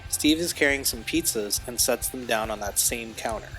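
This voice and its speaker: A man's voice: medium pitch, normal speed, a bit bright, faint music behind, close to an everyday voice, poor audio. A man narrating an audio drama, reading scene directions as scripted narration. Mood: neutral.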